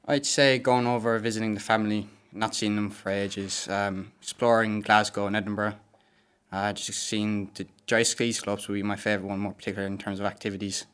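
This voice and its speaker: A man's voice: on the low side, flat delivery, fairly quick, a bit bright, clean tone. A teenage male student giving casual answers as an interview guest. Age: teens.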